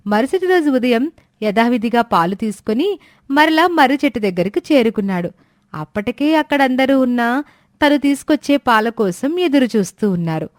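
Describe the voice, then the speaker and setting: A woman's voice: a little high, lively intonation, moderate pace, no room sound, studio quality. A woman storyteller reading scripted narration of a moral fairy tale.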